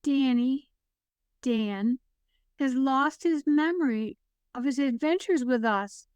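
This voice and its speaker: A woman's voice: high voice, slow pace, a little mellow, smooth, no room sound. A woman playing a gracious, solemn, motherly queen in a fantasy audio play, speaking in a stately, formal way. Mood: grave.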